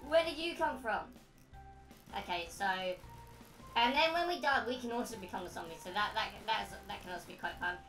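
A woman hosting a gaming video with excitable commentary and frequent exclamations. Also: mid-range voice, fairly quick, soft background music. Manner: excitable, prone to shrieking exclamations. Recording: roomy echo, distant mic.

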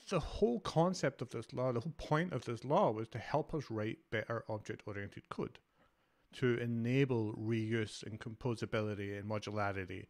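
A man's voice: mid-range voice, gravelly. A Scottish man from Glasgow in his thirties, giving an enthusiastic, conversational conference talk over a video call. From Scotland. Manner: enthusiastic, conversational, many fillers. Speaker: conference speaker, developer advocate.

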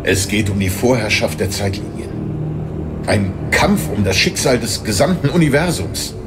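A man's voice: fairly low pitch, very animated, normal speed, loud music behind the voice. An actor playing a solemn father who returns after many years, in an audio drama. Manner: solemn and earnest. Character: grave long-lost father, time-war soldier.

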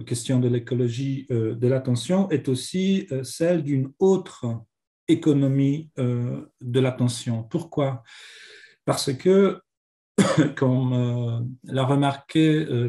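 A man's voice: mid-range voice, slow, warm tone. A man with a Polish accent and a cold, giving a hesitant academic lecture over a video call, with many fillers. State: has a cold. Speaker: seminar speaker. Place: home office on video call.

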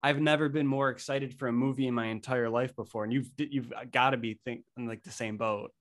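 An eager young man in his twenties, hosting a casual podcast interview into a desk mic in a small room. His middle-pitched voice is a little under the weather, and he talks in an excited, chatty way. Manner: upbeat, fast chatty delivery. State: a little sick. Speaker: podcast host. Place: small indoor room, plain wall. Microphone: desk microphone in frame.

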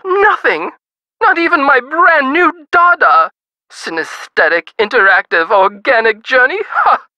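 A fussy, vain society lady from a video game. Her voice is high and bright, and it swoops grandly as she takes her time over every florid, affected phrase. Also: no room sound, exaggerated voice, poor audio. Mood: indignant, haughty. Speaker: game character voice actor. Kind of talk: theatrical video game voice acting.

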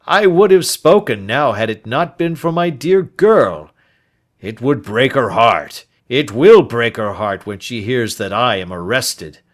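A man's voice: mid-range voice, animated, voice pushed up, close to an everyday voice. A man doing a dying old man, pleading. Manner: earnest, pleading, slow and heavy. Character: aging male rancher, dying and remorseful. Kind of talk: audiobook character voice.

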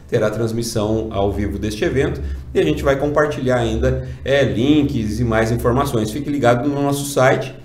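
A man in his forties with a Brazilian accent, chatty and upbeat, interviewing guests on a desk mic in a small studio. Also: mid-range voice. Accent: Brazilian Portuguese. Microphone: desk mic on stand. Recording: roomy office echo.

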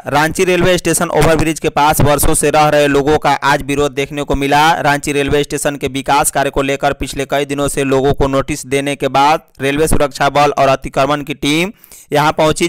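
A man reading a scripted news bulletin in a formal, measured manner as a news reader. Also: a little high, clear, resonant tone, no echo.

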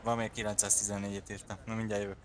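A man's voice: fairly low pitch, level tone, slight background noise. A man chatting casually with friends while playing an online roleplay game. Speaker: gamer.

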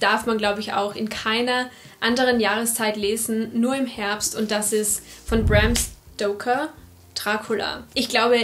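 Young female book vlogger, non-native English speaker, brightish pitch, eager, repetitive emphasis, recorded on a desk camera in a bookshelf room. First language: not English. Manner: enthusiastic, repeats words for emphasis. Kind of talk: casual book talk to camera. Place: room with full bookshelves, indoors.

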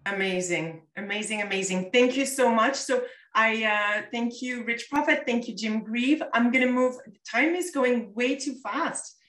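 A woman moderating a webinar in an upbeat, hurried way over a video call. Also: on the high side, a bit bright. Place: home room. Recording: roomy distant video call sound.

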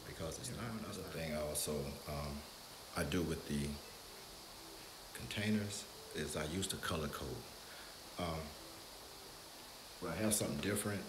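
A man in his fifties with a very deep voice talks casually straight to the camera, walking through his routine. The camera mic sits a few feet away in a home kitchen, so the sound is roomy and echoey. A steady background noise runs under his voice. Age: 50s. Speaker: vlogger. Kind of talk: casual how-to talk.

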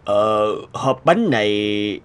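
A man's voice: lowish voice, expressive, very slow, smooth. A man voicing a stern, self-important elderly scientist in a cartoon, pompous and a little grumpy. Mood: haughty. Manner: pompous, hesitant when defensive. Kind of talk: cartoon voice acting. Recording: music behind the voice.

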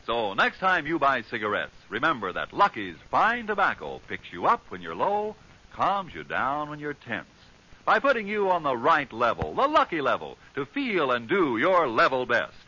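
Old, dull radio sound carries an American male announcer. He is forceful and very animated, driving home a scripted 1940s commercial. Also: mid-range voice, moderate pace.

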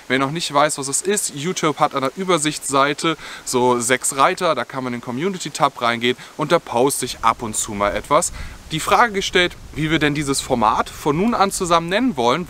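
A young man with a bright, clear-edged voice talks through camping gear in a friendly, explanatory way. He is filmed outdoors in a forest, speaking to the camera as the channel's host. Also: mid-range voice, slight background noise. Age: young adult. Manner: friendly, explanatory, with scripted-sounding product details. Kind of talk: gear review vlog.

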